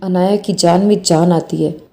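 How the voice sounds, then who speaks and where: A woman's voice: on the low side, fairly even intonation, normal speed, creaky, warm tone, faint music behind. A woman narrating a scripted bedtime moral story.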